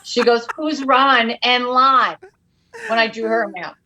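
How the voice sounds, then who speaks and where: A middle-aged woman joking her way through a podcast chat with big, exaggerated flair. Her voice is bright and a touch high, with a sharp ring to it. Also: measured pace, poor audio. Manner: animated, jokey, exaggerated. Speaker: comedian guest. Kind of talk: comedic podcast banter.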